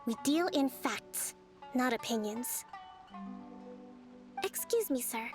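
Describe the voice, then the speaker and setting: A woman playing a gentle, composed young island leader in a video game, speaking in a measured, polite, soothing way. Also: high voice, animated, music in the background. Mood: composed, diplomatic.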